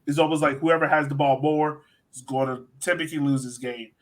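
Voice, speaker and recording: A man's voice: a little high, steady tone, a little fast. An American man hosting a sports podcast, talking casually and with enthusiasm as he breaks down soccer. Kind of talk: casual soccer analysis chat.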